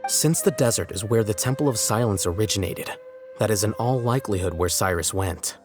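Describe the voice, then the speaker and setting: Guy doing a calm detached smart scholar, game character, lowish and articulate, soft music under. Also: fairly quick, lightly acted. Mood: analytical. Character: composed, matter-of-fact young male scholar, coolly analytical. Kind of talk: English video game dub acting.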